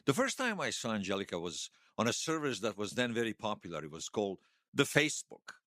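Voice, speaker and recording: A man acting the part of a wistful, wry old hero in his seventies, reminiscing haltingly in a radio drama interview. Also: on the low side, big pitch swings, pushed and bright, dry recording, clean studio sound. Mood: nostalgic, wry. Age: 70s. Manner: halting, reflective, self-deprecating. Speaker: interview guest.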